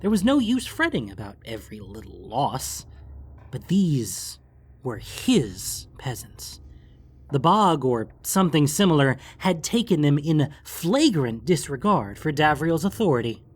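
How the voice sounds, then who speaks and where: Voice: mid-range voice, very animated, measured pace, slightly rough. A narrator reading a scripted fantasy story for an audiobook.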